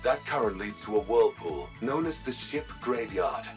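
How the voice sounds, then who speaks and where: A man playing a polite, formal ship's machine intelligence in a video game, speaking in measured, courteous phrases. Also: fairly low pitch, animated, recorded from a distance, over music, natural voice. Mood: courteous, composed. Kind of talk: video game cutscene acting.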